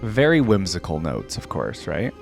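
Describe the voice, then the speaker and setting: A man's voice: sits low, wildly swooping pitch, normal speed, creaky, music in the background. A man giving casual, easygoing gaming reaction commentary, informally thinking aloud as he hosts. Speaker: reaction video host.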